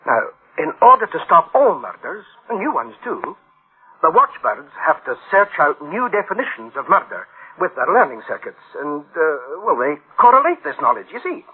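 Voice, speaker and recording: A man's voice: on the high side, very animated, normal speed, breathy edge, phone-quality sound. An actor playing an eager, dismissive engineer in a radio drama, insistent and colloquial in his reassurances. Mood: insistent, reassuring. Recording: band-limited old radio drama sound.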